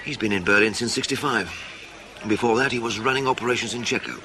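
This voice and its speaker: Actor as blunt, low-key British spy chief, noisy surroundings. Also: male voice, fast talker, close to an everyday voice. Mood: dry, wry. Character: blunt, wry British intelligence operations chief, Carter. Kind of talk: radio spy drama dialogue.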